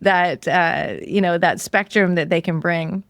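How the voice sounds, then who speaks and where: A woman's voice: lowish voice, steady tone, a little slow, a bit bright, dry recording. A woman speaking as a podcast host in a casual, conversational interview style.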